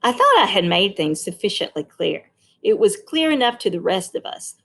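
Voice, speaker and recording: A woman's voice: medium pitch, sing-song, normal speed, fairly bright tone. A woman narrating a novel as a read-along, stepping in now and then as a teacher to explain.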